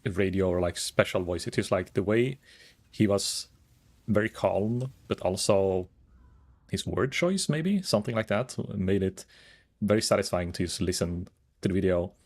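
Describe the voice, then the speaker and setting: Calm, low-voiced man streaming a game. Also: moderate pace. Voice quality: calm, soft-spoken. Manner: rambling, thinking aloud with many fillers. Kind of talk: casual gaming stream chat.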